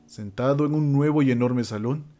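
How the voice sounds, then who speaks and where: A man tells a scripted horror story in a mid-range voice. His delivery is theatrical and suspenseful, broken by dramatic pauses, with music beneath it. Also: mid-range voice, mellow. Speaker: narrator.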